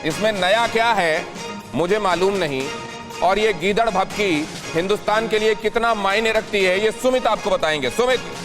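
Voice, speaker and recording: A man's voice: sits high, moderate pace. A man with an Indian accent presenting television news dramatically and emphatically, repeating phrases for effect. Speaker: TV news anchor. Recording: loud dramatic music bed under voice. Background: news music.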